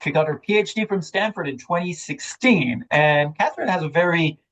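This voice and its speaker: A man moderating an academic book talk, speaking in a measured, slightly halting way as he introduces the authors. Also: fairly high pitch, fairly bright tone, low-quality recording.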